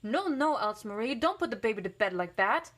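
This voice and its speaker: A woman voicing a bossy, indignant little boy in a picture book, loud and scolding. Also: on the high side, very animated, a little fast.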